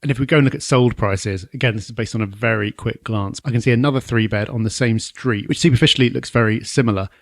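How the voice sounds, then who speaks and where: A man co-hosting a podcast, talking through property analysis in a conversational, measured and analytical way. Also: medium pitch, dry recording, studio quality. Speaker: podcast co-host.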